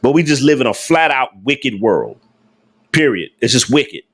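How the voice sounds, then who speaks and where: A man's voice: mid-range voice, big pitch swings, normal speed, fairly bright tone, breathy edge. A man hosting a casual livestream, talking emphatically to his viewers with slangy speech and repeated rhetorical questions. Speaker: livestream host.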